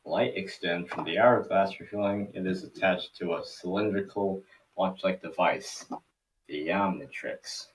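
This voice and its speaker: A man's voice: low voice, fairly even intonation, measured pace, distant mic, poor audio. A man narrating stage directions for a cartoon table read in a scripted storytelling style.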